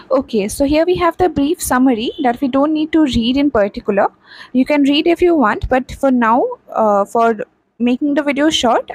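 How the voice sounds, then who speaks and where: A woman's voice: high voice, a little mellow, dry recording, rough recording. A young woman with an Indian English accent, teaching a textbook chapter and repeating key points with frequent "okay" and "uh". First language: not Hindi.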